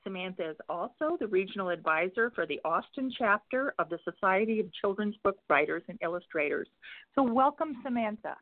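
A woman's voice: mid-range voice, expressive, fairly quick, dry recording. A woman guest-hosting a radio interview, upbeat, reading introductions and asking questions. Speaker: guest host. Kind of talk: interview host. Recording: tinny phone line, dry.

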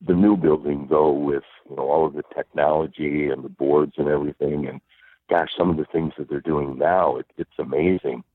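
A man with a strong, powerful voice, a former arena announcer, telling stories in a relaxed way during a phone interview. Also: a bass voice, no room sound. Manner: unhurried storyteller, many fillers. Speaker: guest, former arena public address announcer. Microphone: telephone. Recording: phone line.

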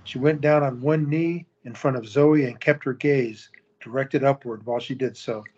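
Guy narrating audio drama, steady, bit dull lo-fi sound. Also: mid-range voice, moderate pace, breathy edge.

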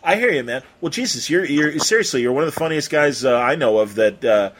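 A man hosting a casual podcast interview, talking in an effusive, rambling, halting way with some mild swearing. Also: fairly high pitch, expressive, a bit bright, slight background noise. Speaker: podcast host interviewing a guest.

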